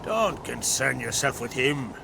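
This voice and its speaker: An actor playing a proud, fatherly island jarl in a video game, speaking in a deliberate, solemn way with rustic phrasing. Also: mid-range voice, very animated, creaky, background noise, exaggerated voice. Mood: grave, measured. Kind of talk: dramatic video game dialogue. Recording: game scene with loud music underneath.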